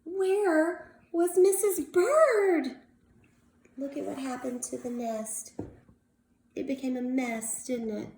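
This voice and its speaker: High-voiced woman reading aloud to children. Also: slight background noise. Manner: animated, drawn-out delivery, prompting young listeners. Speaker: teacher reading a picture book aloud to young children. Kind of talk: expressive storybook read-aloud with questions to young children. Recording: roomy, echoing indoor sound.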